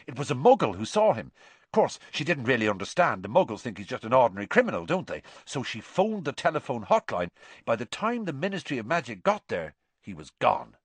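A man voicing an eager, gossipy schoolboy in a storybook, with an excited, hurried delivery. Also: mid-range voice, husky, no room sound, voice pushed up, exaggerated voice.

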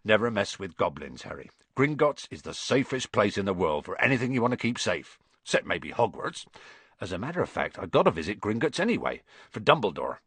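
Hagrid, a man doing a gruff, homely giant. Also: lowish voice, big pitch swings, brisk, a bit bright, no echo, exaggerated voice. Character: huge gruff good-natured giant man.

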